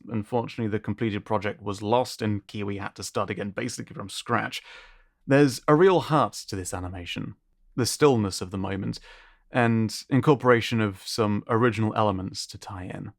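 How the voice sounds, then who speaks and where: A man's voice: fairly low pitch, no room sound. Man hosting a showcase, dry close recording. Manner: appreciative, descriptive. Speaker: host of an art contest showcase. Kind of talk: scripted showcase commentary.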